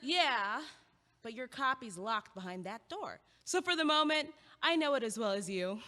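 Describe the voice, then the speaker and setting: Woman acting as quirky female student, young, highish bright voice, argumentative, sardonic, audio-play delivery. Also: expressive, normal speed. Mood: wry. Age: young adult. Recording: long echoing hallway reverb.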